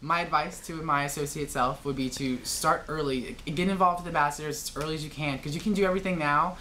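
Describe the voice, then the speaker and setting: A young woman with a notably low voice chats informally in an interview. She is self-deprecating as she reminisces, with faint noise on the recording. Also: fairly even intonation, fast. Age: young adult. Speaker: university student ambassador.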